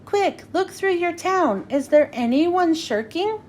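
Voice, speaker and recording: A woman's voice: extremely high pitch, animated, slow pace, darkish tone, heavily acted. A woman voicing an earnest, kind-hearted male elephant in a storybook, pleading urgently and emphatically. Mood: encouraging, pleading.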